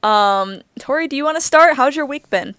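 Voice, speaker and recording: A woman's voice: high-pitched, lively intonation, normal speed, fairly bright tone, dry recording, clean studio sound. A woman in her twenties co-hosting a casual podcast, chatty and giggly with plenty of "like" fillers and a groggy morning voice. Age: 20s. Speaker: podcast co-host. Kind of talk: casual chat.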